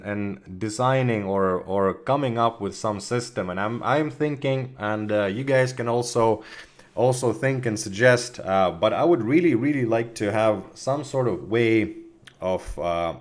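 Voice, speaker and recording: A man with a slightly hoarse voice from a cold, chatting casually with viewers on a livestream, full of fillers and restarts and clearing his throat. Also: medium pitch, expressive, measured pace. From Russia. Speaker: community manager of a game studio.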